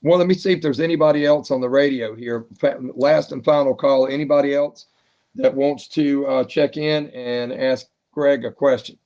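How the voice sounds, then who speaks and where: A man's voice: mid-range voice, steady tone, normal speed, darkish tone. A man chatting casually as the host of a radio net. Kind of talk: casual chat. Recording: dull band-limited ham radio audio.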